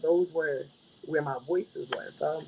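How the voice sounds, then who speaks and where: A woman artist with a raspy voice, answering interview questions in a confident, emphatic way. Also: deep voice, fairly even intonation, slow, background noise. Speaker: guest artist.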